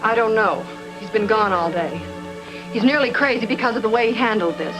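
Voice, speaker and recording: A woman's voice: mid-range voice, over loud music, natural voice. A woman playing a cool, guarded former nightclub entertainer in a noir radio drama, speaking formally and evasively. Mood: anxious. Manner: formal, measured, evasive phrasing.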